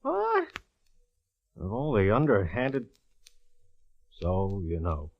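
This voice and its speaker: An American actor playing a gruff, sardonic police detective in a 1940s radio drama, bossy, teasing and slangy. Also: male voice, lowish voice, wildly swooping pitch, slow pace, exaggerated voice. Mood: baffled, irritated. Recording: dull vintage 1940s radio recording.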